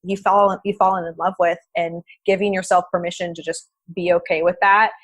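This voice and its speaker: A woman's voice: on the low side, level tone, brisk, no room sound. A young adult woman, a blogger guesting on a podcast, talking in an upbeat, confident way in full sentences. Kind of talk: casual interview chat.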